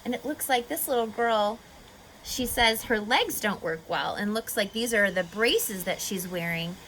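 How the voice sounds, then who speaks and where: A woman reading a picture book aloud to children like a teacher, expressively, pausing to explain and ask questions. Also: mid-range voice, slight background noise, rough recording. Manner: expressive, instructive, asking questions of young listeners.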